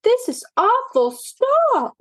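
A woman voicing a timid young girl kitten who pleads in a high, whiny voice. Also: animated, measured pace, a little mellow. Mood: pleading.

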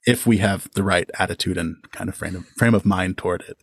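An interview guest around thirty with a slightly low voice, recorded dry and close. The delivery is articulate and thoughtful, with enthusiasm in a relaxed conversational flow. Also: fast talker, husky, dry recording. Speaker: interview guest, audio drama podcast creator.

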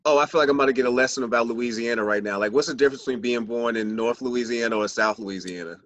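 A man's voice: mid-range voice, fast, fairly bright tone, no room sound, lo-fi sound. A man hosting a casual podcast interview, chatty and upbeat with loose restarts. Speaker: podcast host.

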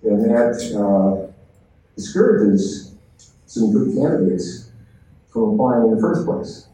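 Dark-voiced man in echoey room. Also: on the low side, lively intonation, slow pace. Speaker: school board member. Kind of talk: public meeting remarks. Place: indoor public meeting room. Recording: very echoey meeting room, distant, faint noise.

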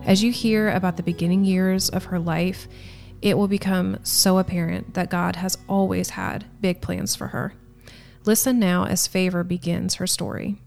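A woman hosts an interview podcast in a slightly low voice with a gravelly creak. She presents and asks questions as the host, with faint music in the background. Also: fairly even intonation, normal speed, darkish tone.

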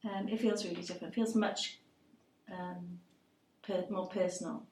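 A woman's voice: on the low side, steady tone, normal speed, a little mellow, roomy sound, distant mic, rough recording. Hesitant storyteller woman. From Wales, Cardiff. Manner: thoughtful, hesitant, many restarts. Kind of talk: reflective interview answers.

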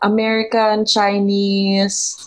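A woman co-hosting a podcast, explaining her experiences in an unhurried way with small hesitations. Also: medium pitch, completely flat delivery. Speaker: podcast co-host. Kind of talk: casual interview chat.

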